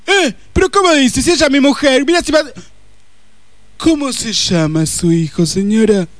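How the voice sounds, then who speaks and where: A man playing a casual policeman and jealous husband in a comedy sketch, slangy and excitable, with a Rioplatense Argentine accent. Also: very high-pitched, moderate pace, dry recording. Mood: jealous anger.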